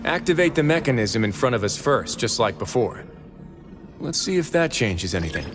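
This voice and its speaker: An actor playing a calm, commanding young prison warden duke in an anime video game, measured and pragmatic. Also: male voice, medium pitch, music in the background, lightly acted. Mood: calm, observant. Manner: measured, authoritative.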